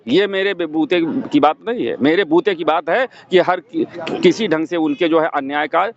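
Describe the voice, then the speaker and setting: Defiant monk, slightly high male voice. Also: moderate pace, highs cut off. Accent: eastern Uttar Pradesh. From Uttar Pradesh, India. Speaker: Buddhist monk interviewee. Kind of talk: spontaneous interview answers.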